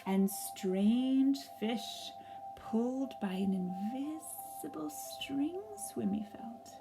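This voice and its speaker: A woman reading a story aloud for young children, gently and expressively, pausing to ask them questions. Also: on the high side, music in the background, low-quality recording.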